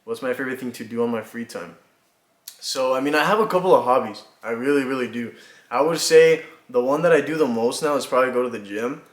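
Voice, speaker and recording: A man in his twenties from Mexico, chatting casually to camera about himself, relaxed and rambling with lots of fillers and little laughs. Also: medium pitch, fast talker. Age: 20s. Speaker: vlogger. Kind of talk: casual get-to-know-me chat. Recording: echoey room.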